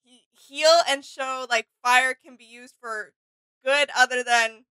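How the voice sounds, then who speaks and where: A woman's voice: high voice, fairly even intonation, slow pace, sharp tone, smooth, no echo, low-quality recording. A woman in her twenties co-hosting a casual, chatty reaction video from a small home studio.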